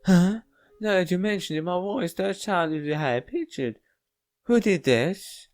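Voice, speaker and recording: A man voicing a flustered male schoolteacher with a squeaky, high-pitched voice in a visual novel read-aloud. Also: lively intonation, unhurried, soft background music. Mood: bewildered, angry. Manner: exclaiming, flustered.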